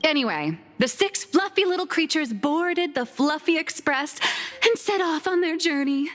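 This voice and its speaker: A woman's voice: high-pitched, expressive, normal speed, fairly bright tone. A woman playing a theatrical young comic artist in an anime game, lecturing like a self-assured, mock-scholarly teacher. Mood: storytelling. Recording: long reverb, music behind.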